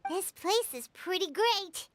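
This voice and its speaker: A woman's voice: expressive, takes it slowly, a bit bright, slight background noise, heavily acted. A woman voicing a small, chirpy, eager fairy companion with a childlike voice in a video game. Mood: easygoing. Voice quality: very high, squeaky, childlike. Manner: refers to herself in third person. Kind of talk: video game character dialogue.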